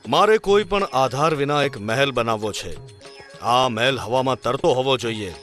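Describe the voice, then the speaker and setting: A man playing a proud cartoon emperor who gives blunt, commanding orders from his throne. His voice sits at an ordinary pitch, level and firm. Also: expressive, normal speed, a bit bright, faint music behind, lightly acted. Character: stern proud male emperor, middle-aged. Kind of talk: cartoon storybook dub.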